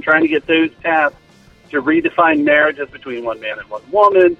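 A man's voice: high-pitched, vocal fry, no room sound. A man with a South Jersey accent leaving a casual, emphatic, opinionated voicemail over the telephone. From South Jersey, United States. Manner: emphatic, explanatory. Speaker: listener calling in. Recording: tinny phone voicemail, narrow band.

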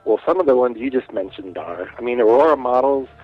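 A man's voice: mid-range voice, expressive, fairly quick, faint music behind. A man in his late forties, a guest artist, chatting casually and rambling a bit over a phone line. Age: 40s. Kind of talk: casual interview chat.

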